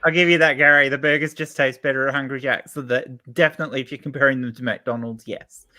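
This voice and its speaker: Bright, forceful man. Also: medium pitch, fairly even intonation, fast. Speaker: podcast co-host. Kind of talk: casual panel chat.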